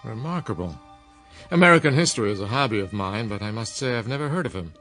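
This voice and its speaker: An actor playing an inquisitive, skeptical older chemistry professor in a radio drama, courteous but probing. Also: male voice, on the low side, animated, fast, a bit bright, faint music behind. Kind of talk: modern radio drama acting.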